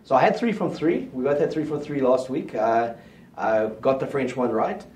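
A middle-aged rugby pundit talking in a small studio, confident and steady as he lays out his predictions. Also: mid-range voice, moderate pace, distant mic, slight background noise. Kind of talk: casual rugby chat. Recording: roomy studio sound, mic set back.

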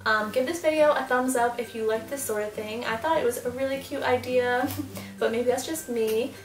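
Young mom vlogger, gushy and bubbly, a bit gravelly, roomy echo, sitting back from the cam. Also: female voice, on the high side, moderate pace, recorded from a distance. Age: young adult. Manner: bubbly, gushing, lots of "super" and "like". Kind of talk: casual product haul. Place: small room indoors, seated before louvered doors.

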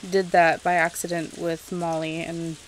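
A woman's voice: on the low side, monotone, measured pace, a little hiss or hum, rough recording. A woman giving casual let's-play commentary as she games, rambling and thinking aloud to herself. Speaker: video game streamer narrating gameplay.

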